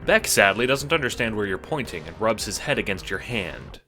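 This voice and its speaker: A man's voice: on the low side, sing-song, moderate pace, pushed and bright, soft background music. A man narrating an audio drama in the second person with a wry, theatrical, sardonic manner.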